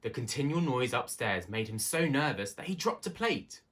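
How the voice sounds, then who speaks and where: Animated man reading a children's story. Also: medium pitch, normal speed. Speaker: story reader. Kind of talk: expressive children's storybook narration.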